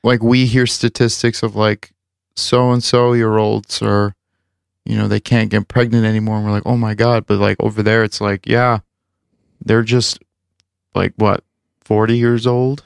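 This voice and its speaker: Guy rambling thru his podcast outro, lots of likes, smooth but gravelly, dry close mic. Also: fairly low pitch, little pitch movement, unhurried, dry recording. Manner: rambling, heavy filler words. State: recovering from lost voice. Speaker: podcast host. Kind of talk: casual chat and show wrap-up.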